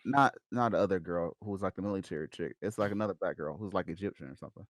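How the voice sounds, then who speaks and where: Male panelist, lowish pitch, informal slangy delivery, casual chat, dry sound. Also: very fast, dry recording. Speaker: podcast panelist. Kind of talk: casual panel chat about games and films.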